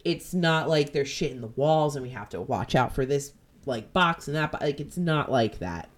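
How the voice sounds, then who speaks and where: A woman with a New Jersey accent, hosting a podcast and retelling a ghost-hunting episode in animated, profane casual chat. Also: deep voice, moderate pace. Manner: animated, profane, jumps into quoted voices. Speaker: podcast host.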